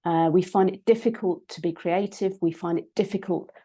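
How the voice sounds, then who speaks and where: A woman with a British accent, a psychologist and coach giving an online talk, croaky from a cold and hesitant, with many ums and restarts. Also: fairly low pitch, steady tone, moderate pace, dark tone. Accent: British English.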